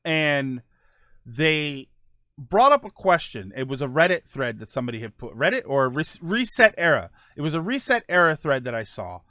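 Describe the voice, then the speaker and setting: A man's voice: a little high, big pitch swings, normal speed, bright, forceful tone, no room sound, studio quality. A man hosting a casual live stream, thinking aloud while reading viewer comments, often restarting his sentences. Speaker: streamer host reading chat.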